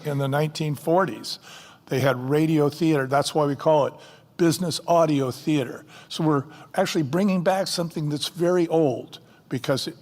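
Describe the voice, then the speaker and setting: A man, a company co-founder, speaking emphatically at a conference fireside chat and firing off rhetorical questions. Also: a little high. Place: conference stage. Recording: long hall reverb.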